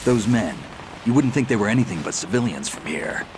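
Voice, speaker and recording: An actor playing a wary, terse special-operations veteran in a video game, with a gruff, gravelly voice. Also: male voice, fairly low pitch, animated, a little fast, lightly acted. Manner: terse, dry, guarded. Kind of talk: video game cutscene acting. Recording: heavy noise of rain and vehicles.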